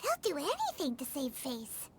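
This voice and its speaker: A woman's voice: sits high, huge pitch swings, normal speed, heavy background noise, full character voice. An actress voicing a sweet, earnest young girl healer in a video game, innocently frank in manner. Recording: loud game music behind the voice.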